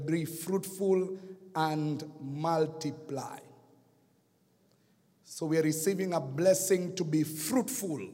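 Slow, dramatic preacher with a Kenyan accent. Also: male voice, a little high, in a large hall. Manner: dramatic, swings from hushed to shouting. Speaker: pastor. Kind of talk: sermon with storytelling. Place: church hall.

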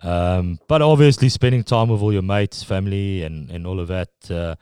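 A middle-aged South African man with an Afrikaans accent, a guest talking casually and haltingly in an interview, with many restarts. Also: deep voice, mellow, clean tone, no echo, studio quality. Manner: halting, full of restarts and fillers.

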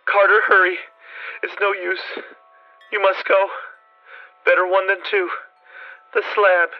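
Man voicing a panicked trapped man, high strained voice, desperate pleading, dull lo-fi sound. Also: little pitch movement, slow, no echo, soft background music. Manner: desperate, pleading, rising to shrieks.

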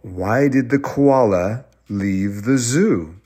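A man voicing a hungry, gloating troll in a told story, menacing and drawn-out, with theatrical groans. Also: mid-range voice, dark tone, husky. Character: gloating hungry riddle-game troll, sore loser. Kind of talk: hammy oral storytelling character.

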